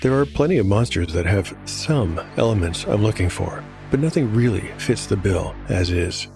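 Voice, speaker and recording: A man narrating a solo fantasy role-playing show as game master, in a measured, explanatory scripted style. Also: fairly low pitch, animated, gravelly. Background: music.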